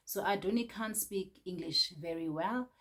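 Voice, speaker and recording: A woman's voice: medium pitch, normal speed, fairly bright tone. A Nigerian woman reviewing a book in a casual chat, hesitant, with many fillers and restarts.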